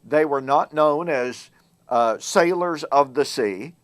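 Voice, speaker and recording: A man hosting a Bible teaching show. He speaks in a measured way, slowing down and growing louder to stress key words. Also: a little high. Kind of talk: solo Bible teaching talk to camera.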